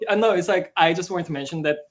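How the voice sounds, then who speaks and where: Soft-spoken man with a Korean accent. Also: on the high side, fast. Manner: soft-spoken, hesitant, many "uh"s, laughs easily. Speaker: co-writer of a musical. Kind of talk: casual live-stream chat.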